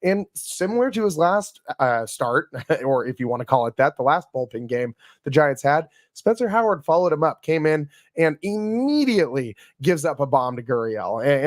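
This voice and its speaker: A man with a raspy, worn voice hosts a casual sports podcast, chatting through a game recap with frequent fillers and restarts. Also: a little high, dry recording, studio quality. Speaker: podcast host.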